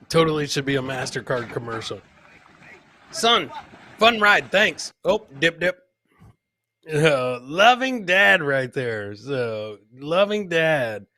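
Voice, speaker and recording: A man's voice: a little high, pushed and bright, a little hiss or hum. A man hosting a comedy podcast, casually commenting on funny video clips and repeating his own catchphrases. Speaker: podcast host.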